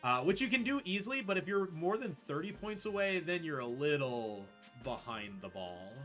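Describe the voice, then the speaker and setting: A man with a bright, forward voice explains his play as he goes, in a casual, conversational way. He sounds like a speedrunner talking on a live stream, with music playing behind him. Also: fairly high pitch, sing-song, moderate pace. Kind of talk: casual live gaming commentary. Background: video game music.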